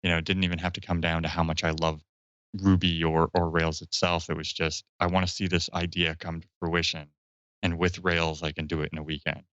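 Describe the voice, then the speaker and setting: A man's voice: low voice, flat delivery, fairly quick, fairly bright tone, no echo, highs cut off. A man chatting on a podcast, thinking aloud with frequent "like" fillers. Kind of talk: casual panel chat.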